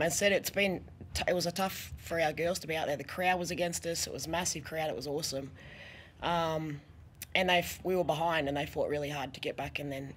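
A middle-aged Australian woman coaching a team, answering press questions earnestly with many pauses, her voice going hoarse. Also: low-pitched, a little hiss or hum. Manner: earnest, halting with many ums, a little hoarse. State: losing her voice. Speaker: head coach at a press conference. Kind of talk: post-match press conference answers. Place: press conference room with sponsor backdrop. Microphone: desk microphone.

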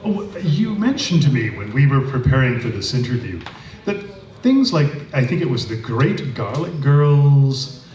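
A man's voice: mid-range voice, very animated, unhurried, darkish tone. An older man hosting an interview on a small stage, introducing his guest formally into a handheld mic. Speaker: host. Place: small stage with audience, indoors. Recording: roomy, distant, faint noise.

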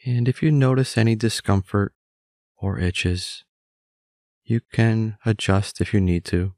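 A man calmly leading a scripted guided meditation in a measured, gently instructive manner. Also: on the low side, dry recording, studio quality.

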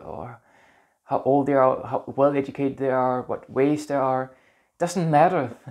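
A Danish-accented man in his thirties talks gently to the camera, earnest and a little halting as he searches for words. He is recorded close on a lapel mic in a small indoor room. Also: mid-range voice. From Denmark. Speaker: spiritual vlogger. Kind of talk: casual talk to camera.

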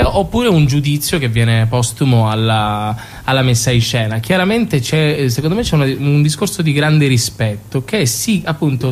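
A man giving a conversational radio interview, picking his phrases deliberately and with some hesitation. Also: darkish tone. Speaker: stage actor being interviewed. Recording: clear background noise with rumble.

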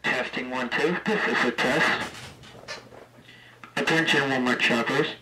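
A man's voice: medium pitch, fairly even intonation, a little slow. A man making a test announcement in a store-announcer style, heard through an intercom and stereo speaker. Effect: played through intercom and stereo speaker. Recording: roomy, faintly noisy speaker playback.

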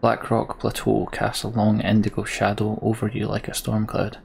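A man's voice: fairly low pitch, monotone, normal speed, gravelly, a little mellow, a little hiss or hum. A man hosting a let's play, reading game text aloud and commenting casually with dry asides.

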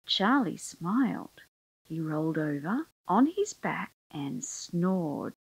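A woman's voice: lowish voice, very animated, slow, slightly rough, dry recording, poor audio. A woman reading a children's picture book aloud as storyteller, expressively, drawing out key words for emphasis.

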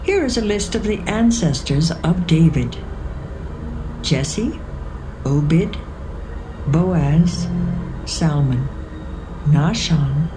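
A woman narrating scripture from a script in a measured storytelling manner. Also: deep voice, background noise. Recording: music behind the voice.

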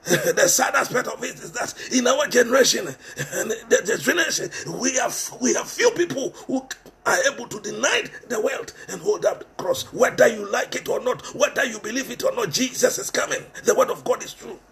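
A man's voice: very high voice, lively intonation, moderate pace, a bit bright. A middle-aged man with a Ghanaian accent preaching a sermon with passion, repeating phrases for emphasis and switching between English and Twi, recorded on a headset mic in a small room.

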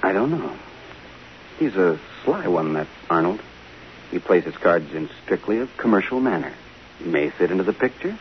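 An actor playing a guarded, shaken man under questioning, hesitant and measured, in a 1950s radio drama. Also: low-pitched, sing-song. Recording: hissy, muffled old radio recording.

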